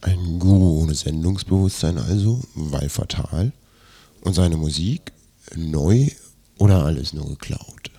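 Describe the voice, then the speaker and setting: A man with a gravelly, husky voice presenting a scripted radio magazine piece. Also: low-pitched, unhurried, mellow.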